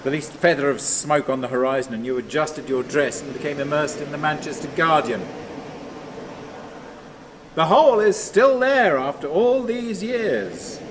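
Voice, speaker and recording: An actor playing a haunted, obsessive husband in a radio drama, brooding and volatile. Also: male voice, on the high side, lively intonation, normal speed, noisy background, close to an everyday voice.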